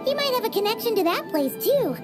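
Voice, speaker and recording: An actress voicing a tiny, chirpy female companion creature in a video game, cheeky and excitable. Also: fast. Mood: excited. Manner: excitable, cheeky, talks in a high singsong. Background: game soundtrack music.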